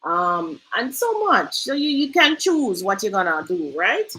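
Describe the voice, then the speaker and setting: A woman's voice: mid-range voice, big pitch swings, measured pace, pushed and bright, low-quality recording. A Jamaican woman hosting a casual screen-share tutorial, chatty and loose, often asking "right?". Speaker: host.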